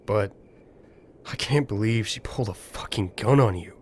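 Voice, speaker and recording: A man's voice: lowish voice, moderate pace, a little hiss or hum, natural voice. A man playing a socially awkward, guarded young man in an amateur audio drama, blunt and offhand.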